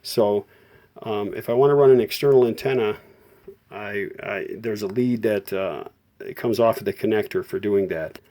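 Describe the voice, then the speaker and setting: A man hosting an informal repair tutorial, explaining technical details off the cuff in a halting way and often correcting himself. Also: lowish voice, mellow. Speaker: host of a vintage radio repair tutorial. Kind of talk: unscripted hands-on technical explanation.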